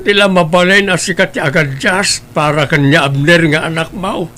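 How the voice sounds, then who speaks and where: An actor playing an elderly grandfather in an audio drama, speaking in a measured, gently reproachful and admonishing way. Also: on the high side. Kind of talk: Ilocano audio drama acting.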